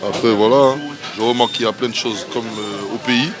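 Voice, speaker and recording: A man's voice: mid-range voice, steady tone, fairly quick, crowd noise. A man speaking as a customer in a casual vox pop, in loose, fragmented phrases. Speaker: customer interviewed. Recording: loud music behind.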